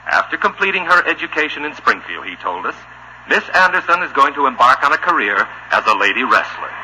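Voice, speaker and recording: An actor playing a solemn, stuffy newspaper voice with an American accent, reading formally and deadpan in a 1950s radio show. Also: male voice, mid-range voice, a little fast, natural voice. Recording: old radio recording with hum.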